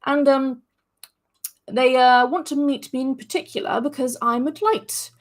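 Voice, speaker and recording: A woman's voice: high voice, steady tone, moderate pace, voice pushed up, natural voice. A woman voicing a vain, chattering male blackbird messenger in a storybook reading, eager, fussy and pleased with himself. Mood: smug. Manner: eager, fussy and self-satisfied.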